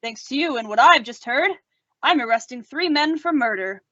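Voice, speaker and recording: A woman's voice: high voice, lively intonation, normal speed, pushed and bright, no room sound, dull audio, heavily acted. A woman playing an earnest, resolute male sheriff in an old-time western radio drama.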